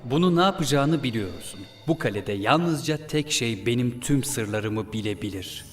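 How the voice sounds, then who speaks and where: A man's voice: medium pitch, animated, moderate pace, close to an everyday voice. A man playing a scheming villain prince in a cartoon dub, speaking in a formal, commanding manner. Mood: cold. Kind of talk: animated series dub acting. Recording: music behind the voice.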